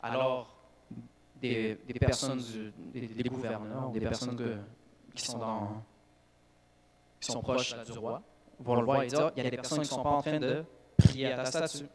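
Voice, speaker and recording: Man, native English speaker, preaching in a church, mid-range voice, animated emphasis, self-correcting. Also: mid-range voice, normal speed. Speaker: youth speaker. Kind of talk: sermon.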